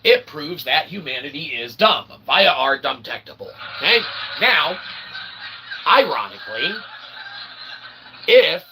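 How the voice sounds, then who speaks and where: Bright, forceful man explaining to an audience. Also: fairly high pitch, very animated, takes it slowly, long pauses, a little hiss or hum, rough recording. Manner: wordy pseudo-academic phrasing, punctuated by repeated "okay?" checks. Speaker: self-published author and channel host. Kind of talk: rambling explanatory monologue to an audience.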